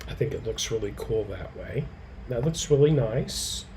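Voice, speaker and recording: A man teaching a live quilting and fabric-painting demonstration, slightly hoarse, chatting with viewers by name and muttering softly to himself as he works. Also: mid-range voice, moderate pace, slight background noise. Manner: relaxed, talks softly to himself while he works; answers viewers' comments by name. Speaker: quilting educator.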